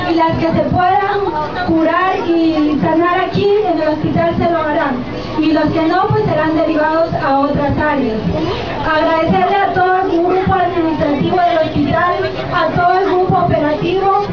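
Mujer, acento colombiano, discurso de bienvenida, mucho eco, ruido de fondo. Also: female voice, extremely high pitch. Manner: formal, grateful, proud of her town. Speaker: hospital director. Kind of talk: public welcome speech. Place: covered open-air public space with a crowd. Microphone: handheld mic. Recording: far off, echoey, dull, noisy.

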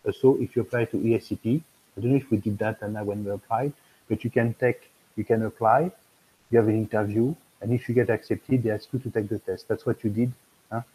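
A man's voice: fairly low pitch, fairly even intonation, fast, mellow, husky, poor audio. A man in his fifties with a French accent, talking on a video call as an admissions consultant, rambling with many fillers and restarts. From France. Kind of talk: video call webinar talk. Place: room at home.

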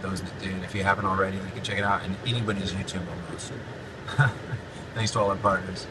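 Forties male host, low-pitched, easygoing and promotional, recorded on a phone a bit off-mic in a noisy car. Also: fast. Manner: relaxed, upbeat, promotional. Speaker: podcast host and band frontman. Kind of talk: casual chat. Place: in a moving car. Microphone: phone at arm's length. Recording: loud road noise, slightly distant phone mic. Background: road and engine noise.

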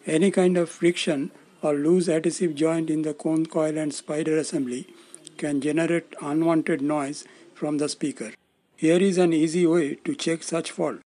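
A man in his sixties giving scripted instructional narration as an electronics instructor, in a measured, formal, explanatory manner. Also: a little high, mellow. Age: 60s.

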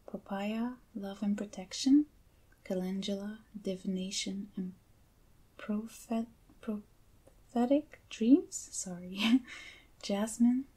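A young woman speaks in a soft, whispery ASMR style, extremely breathy and close to the mic. Her voice is mellow and gentle, and she takes her time with slow, hushed phrasing. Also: medium pitch, low-quality recording. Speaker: ASMR creator unboxing items. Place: indoors at a table with a backdrop. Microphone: close ASMR microphone.

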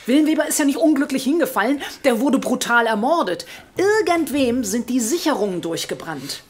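A woman's voice: high-pitched, lively intonation, a little fast. A woman playing a dry, matter-of-fact investigator in a German audio drama. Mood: firm.

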